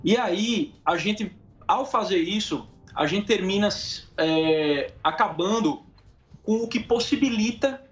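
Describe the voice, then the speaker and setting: Brazilian guy, pretty high voice, casual interview guest, halting and slangy, kinda dull lo-fi audio. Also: expressive. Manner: colloquial, halting, frequent tag questions. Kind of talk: casual interview answers, anecdotes.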